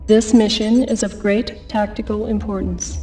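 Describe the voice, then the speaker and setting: A woman acting as an impassive military announcer for a game briefing. Her voice is very flat and a little dark, clipped and impersonal, set slightly back from the mic. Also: mid-range voice, measured pace, far from the mic, soft background music, lightly acted. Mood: impassive, official. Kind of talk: scripted game mission briefing.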